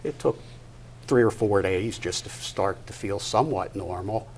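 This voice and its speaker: An older man giving a personal testimony to an audience at a live event, halting and deliberate, pausing mid-sentence. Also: on the low side. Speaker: guest speaker at a patient discussion event. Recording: noticeable background noise, live event.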